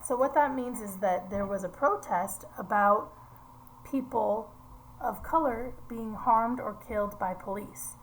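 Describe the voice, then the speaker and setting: A woman's voice: on the high side, measured pace, a little hiss or hum, low-quality recording. A woman reading a picture book aloud to young children, explaining as she goes and putting simple questions to her listeners.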